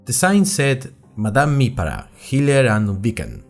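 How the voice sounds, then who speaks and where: A man's voice: medium pitch, wildly swooping pitch, unhurried, faint music behind. A man playing an earnest young college student who tells his story in a wry, conversational way, as host of an audio drama diary. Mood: chatty storytelling. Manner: conversational, wry, addresses listeners directly. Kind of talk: scripted first-person audio drama narration.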